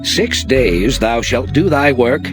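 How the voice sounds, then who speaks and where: A man delivers a dramatized scripture reading with solemn, declamatory weight. His pitch sits in a middle register and moves expressively through each measured phrase, with music clearly behind him. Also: lively intonation. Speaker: Bible narrator.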